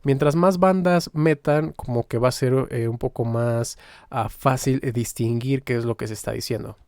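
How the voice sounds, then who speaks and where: A man giving an informal, explanatory software tutorial, pausing now and then as he demonstrates. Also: mid-range voice, no room sound, studio quality. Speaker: music software tutorial instructor.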